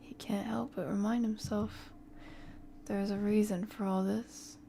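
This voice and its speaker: A woman reads a visual novel aloud for an ASMR stream. Her voice is hushed and mellow, with a creaky edge, and often slips into whispers. She takes her time over each phrase while music plays behind her. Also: mid-range voice, little pitch movement, measured pace. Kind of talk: soft ASMR game stream narration. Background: soft game music.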